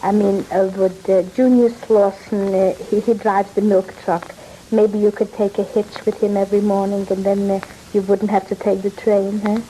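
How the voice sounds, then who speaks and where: An actress playing a worried middle-aged mother in a 1930s radio drama, talking in a hesitant, halting way. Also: medium pitch, level tone, warm tone, lightly acted, rough recording. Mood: fretting. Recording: old radio recording with faint hiss.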